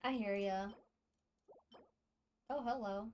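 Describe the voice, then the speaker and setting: A woman's voice: mid-range voice, slow, darkish tone, a little hiss or hum. A woman livestreamer giving casual, chatty gameplay commentary to her viewers, speaking off the cuff. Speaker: game livestreamer.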